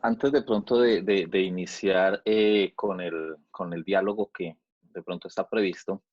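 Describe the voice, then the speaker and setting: A man's voice: sits low, steady tone, unhurried, a little mellow, no room sound. A man asking polite, roundabout interview questions in a hesitant way, circling and repeating words. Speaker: interviewer, researcher. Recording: dull, dry lo-fi audio.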